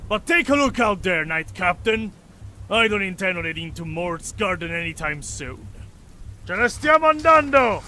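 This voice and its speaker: An actor playing a blunt, defiant sellsword soldier who is fed up, in a fantasy audio drama. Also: male voice, sits high, sing-song, normal speed, sharp tone, slight background noise, exaggerated voice. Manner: blunt, insubordinate.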